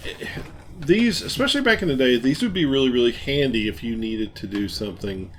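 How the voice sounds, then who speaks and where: A man explaining old gear casually as a show host, in a halting, rambling, enthusiastic way. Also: mid-range voice, lively intonation. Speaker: host of a hobby radio show. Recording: light background noise.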